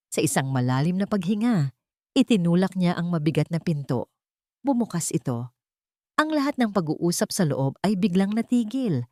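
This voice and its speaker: Low-voiced Filipino woman narrating a dramatic story. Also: a little mellow, no room sound, studio quality.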